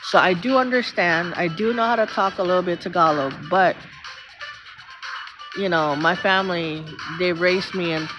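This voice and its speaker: A middle-aged woman with a Filipino accent, chatting outdoors on a phone during a live stream. She rambles through long stories and thanks viewers by name. Her audio sounds a little dull and muffled, with music playing clearly underneath. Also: fairly low pitch, moderate pace. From Philippines. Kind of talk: casual live-stream chat. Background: background music.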